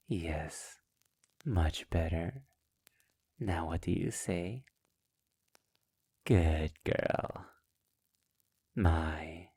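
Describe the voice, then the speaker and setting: A man's voice: low-pitched, darkish tone, no echo, exaggerated voice. A man acting a sadistic, possessive vampire master in an intimate ASMR roleplay, speaking in a silky, teasing, condescending manner that often slips toward a whisper. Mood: silky, commanding. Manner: slow, teasing, often dropping into breathy whispers; silky, formal, condescending endearments. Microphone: close mic in a dry, quiet space.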